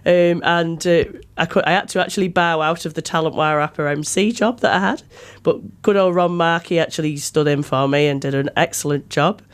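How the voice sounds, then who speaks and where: A woman radio host with a New Zealand accent talks in a casual, chatty way. Her voice is a little croaky as she gets over an illness. She speaks into a studio microphone. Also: low-pitched, a little fast, hardly any pauses. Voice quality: slightly croaky. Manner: chatty, informal.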